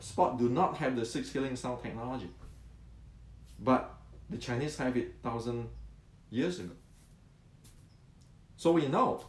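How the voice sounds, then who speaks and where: A man's voice: medium pitch, a little mellow. A man in his sixties explaining martial arts to viewers, often repeating words and answering his own questions. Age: 60s. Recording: roomy echo.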